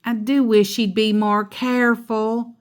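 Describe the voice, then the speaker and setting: A woman voicing a thoughtful, gently worried mother bear in a storybook, mildly fretful as she reasons. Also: a little high, little pitch movement, a little slow, smooth, voice pushed up, lightly acted.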